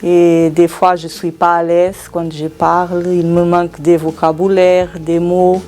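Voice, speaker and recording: Non-native French-speaking woman, halting and slow, mellow voice, soft guitar behind. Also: on the low side, little pitch movement. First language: not French. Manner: hesitant, searching for words. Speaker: trainee employee. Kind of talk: interview testimony.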